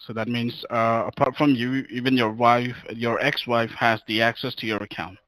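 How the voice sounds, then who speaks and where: A man's voice: mid-range voice, little pitch movement, moderate pace, fairly bright tone, poor audio. A man acting as a bank phone agent, polite and procedural in formal call-center style. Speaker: phone scammer posing as bank fraud agent. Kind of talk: scripted call-center talk.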